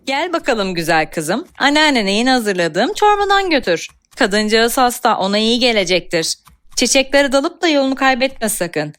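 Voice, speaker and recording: A caring fairy tale mother, played by a woman, in a fairly high, very expressive voice. She instructs her child with gentle affection. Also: moderate pace. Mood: affectionate, instructing.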